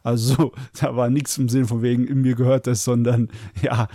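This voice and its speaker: A gravelly-voiced man co-hosts a podcast in casual conversation. His pitch sits in the middle range, and the recording is dry, with no room sound. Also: level tone, normal speed, mellow, no room sound. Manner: chatty, laughs while telling stories. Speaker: podcast co-host. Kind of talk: casual chat.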